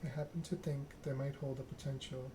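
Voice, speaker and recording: A man's voice: mid-range voice, fairly even intonation, brisk, natural voice. A man reading the part of a poised, persuasive vampire woman, polite and careful in speech. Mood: diplomatic, composed. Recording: clear background noise.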